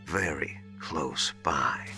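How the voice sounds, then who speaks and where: An actor playing a scheming military villain in a video game, with a taunting, theatrical, drawn-out delivery. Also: male voice, rumbling low, heavily acted. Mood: sly taunting. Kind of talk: dramatic video game voice acting. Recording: loud music behind the voice.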